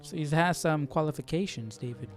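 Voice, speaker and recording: A man's voice: a little high, brisk, soft background music. A man hosting a Bible study stream, musing aloud conversationally and casually checking for agreement. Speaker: Bible study livestream host. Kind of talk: casual reaction and commentary.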